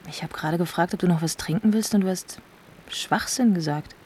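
A woman's voice: on the low side, a little fast, darkish tone, a little hiss or hum, lightly acted. An actress in a naturalistic radio drama playing a man's tender but easily disappointed companion. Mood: let down.

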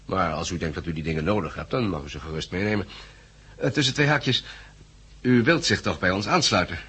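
A low, very husky man in a vintage radio play. He is a practical organiser of survivors, affable and courteous, speaking in measured terms. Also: sharp tone, exaggerated voice. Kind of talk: 1960s Dutch radio drama acting.

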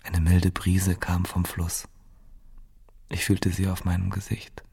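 An actor playing a wounded, dazed survivor of a massacre in a radio drama monologue, numb, subdued and halting. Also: male voice, low voice, completely flat delivery, vocal fry, darkish tone, breathy edge, full character voice. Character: wounded, dazed male survivor lying among corpses.

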